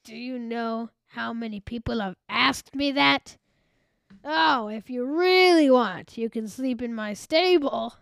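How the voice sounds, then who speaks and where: A high-voiced performer playing a grumpy, harried male innkeeper in a children's Bible drama, complaining and blustering. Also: takes it slowly, gravelly, a bit bright, smooth, dry recording, studio quality. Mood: irritated. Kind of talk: children's radio-play style Bible dramatization.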